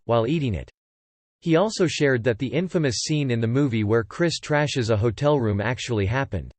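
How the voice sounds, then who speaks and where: A man's voice: medium pitch, darkish tone, no echo. A man reading a scripted celebrity news story as a voice-over narrator. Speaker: narrator of entertainment video.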